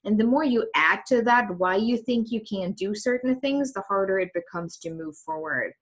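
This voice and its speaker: A woman's voice: medium pitch, moderate pace. A woman talking openly in a casual interview, reflective and thoughtful, with frequent ums and restarts. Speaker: host.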